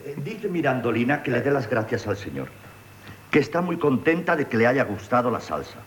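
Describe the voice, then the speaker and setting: An actor playing a timid, lovestruck, deferential manservant who speaks hesitantly, with a Castilian Spanish accent, in a radio play. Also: male voice, medium pitch, big pitch swings, brisk, slight background noise, lightly acted. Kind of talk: 1970s Spanish radio theatre acting.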